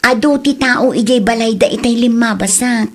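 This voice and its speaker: High-voiced, hurt Ilocano village wife, acted by a woman. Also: moderate pace, lightly acted. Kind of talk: Ilocano radio drama acting.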